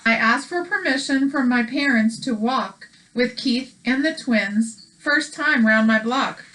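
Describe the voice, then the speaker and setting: A woman co-hosting a children's read-aloud, mixing expressive storytelling with casual chat. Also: a little high, unhurried, rough recording.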